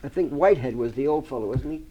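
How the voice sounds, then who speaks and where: A man's voice: mid-range voice, animated, fast talker, breathy edge, dull audio. A middle-aged or older man, a veteran of radio, reminisces casually in short, matter-of-fact sentences.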